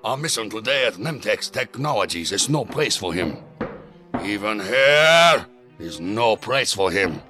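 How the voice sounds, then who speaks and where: A stern zealot leader in a sci-fi radio play, solemn and preachy. His low, forceful voice swings widely in pitch as he commands. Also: big pitch swings, unhurried, faint music behind, heavily acted. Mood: grave. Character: stern, zealous male leader of a secret faith group. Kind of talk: science fiction audio drama acting.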